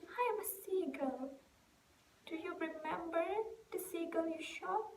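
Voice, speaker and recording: A woman playing a weary, distraught young stage actress in an emotional, halting theatrical monologue. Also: very high voice, voice pushed up. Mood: agitated, despairing. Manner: halting, emotional, swinging from hushed to loud. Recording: echoey room with noticeable background hiss.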